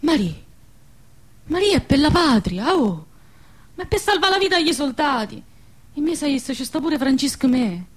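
High-voiced poor village wife. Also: female voice, lively intonation, normal speed, pauses often. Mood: pleading. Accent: central Italian, southern Lazio dialect. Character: poor, devoted, pious Italian village wife in wartime. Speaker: radio play actress. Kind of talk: theatrical radio drama in dialect.

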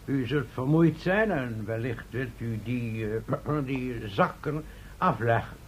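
An actor in an old radio drama playing a hospitable medieval mayor with a formal, courteous, insistent manner. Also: male voice, mid-range voice, slow pace, natural voice. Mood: eager hospitality. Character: hospitable, effusive mayor of a fifteenth-century town. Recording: old radio recording, faint noise.

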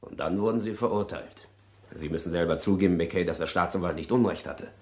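An actor as a deep-voiced, sceptical man. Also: brisk, darkish tone, lightly acted. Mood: sceptical, tactful. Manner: hesitant, word-searching politeness. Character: blunt but apologetic man doubting the prisoner's story. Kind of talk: German radio crime play acting.

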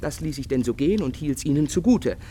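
A man's voice: on the high side, expressive, a little fast, dark tone, slight background noise, close to an everyday voice. A man playing a passionate, brooding young painter in love in a literary radio drama, declamatory and agitated, with old-fashioned diction. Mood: bitter, hurt.

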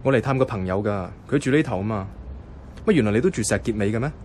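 A man's voice: fairly low pitch, lively intonation, quick pace, a little mellow, slight background noise, natural voice. A man playing an easygoing young office worker in a radio drama, speaking and narrating in Hong Kong Cantonese. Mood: friendly, casual. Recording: music behind the voice.

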